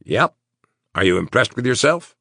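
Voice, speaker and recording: A man's voice: mid-range voice, sing-song, moderate pace, vocal fry, a bit bright, breathy edge, dry recording, studio quality, voice pushed up, exaggerated voice. A man voicing an anxious, officious small-town mayor in an audiobook, speaking urgently and emphatically. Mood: eager.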